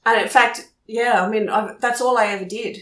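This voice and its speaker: An Australian woman working as a photojournalist, telling stories in a chatty, lively way during a casual interview at her home. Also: a little high, moderate pace, reverberant. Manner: chatty, animated storyteller. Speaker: photojournalist guest. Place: room in her house.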